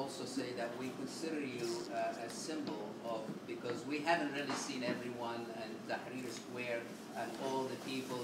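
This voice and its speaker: Man, meeting host, halting and formal; distant, noisy, echoey capture. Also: medium pitch. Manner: halting, full of uhs, proud and formal. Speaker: event host. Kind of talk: welcoming remarks at a community meeting. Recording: echoey room, distant mic, loud ambient noise.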